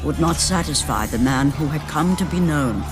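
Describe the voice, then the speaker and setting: A man playing a solemn storyteller, narrating a mythic video game tale in grave, portentous, measured phrases. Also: on the high side, lively intonation, close to an everyday voice. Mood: grave, ominous. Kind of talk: scripted game cutscene narration. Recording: very loud music underneath. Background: music.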